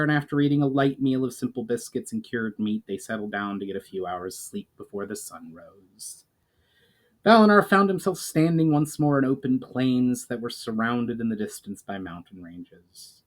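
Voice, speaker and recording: A man narrates a fantasy story in a mid-pitched voice. He reads formally and carefully, in the manner of a dramatic amateur audiobook. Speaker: author reading own novel aloud.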